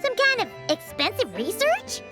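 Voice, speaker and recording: A woman voicing a small, perky, excitable childlike companion creature in an anime game, squeaky and exclamatory. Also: a little slow, full character voice. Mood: curious, chatty. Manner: exclamatory, speaks of self in third person. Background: playful tinkling game music.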